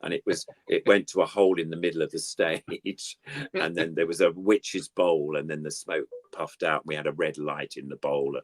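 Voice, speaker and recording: A man's voice: very deep voice, level tone, normal speed, dry recording. An older man with an English accent, chatting casually as an interview guest in a rambling, anecdotal way. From United Kingdom. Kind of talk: casual reminiscing chat.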